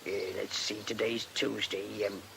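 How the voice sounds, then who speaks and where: An actor playing a cheery, deferential working-class tradesman in a radio drama, chatty and colloquial with a gravelly voice. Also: lowish voice, little pitch movement, measured pace, fairly bright tone, slight background noise, full character voice. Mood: chatty, practical.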